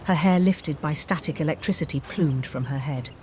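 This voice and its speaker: A woman storyteller reading scripted fantasy narration in a measured, theatrical way. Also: sits low, darkish tone, slight background noise. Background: music bed.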